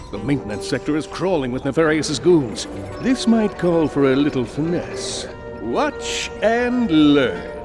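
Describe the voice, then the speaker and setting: An actor voicing a vain, goofy, self-important space hero in a hammy video game style. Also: male voice, on the high side. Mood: smug. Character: boastful, goofy, vain male space-hero sidekick schemer.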